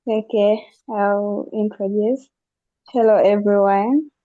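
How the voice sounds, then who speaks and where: Young woman, Ugandan accent, flat even intonation, informal introduction, thin phone-like audio. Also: medium pitch, takes it slowly, no room sound. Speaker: forum participant, recent graduate. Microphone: video call microphone. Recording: phone-like narrow online call audio.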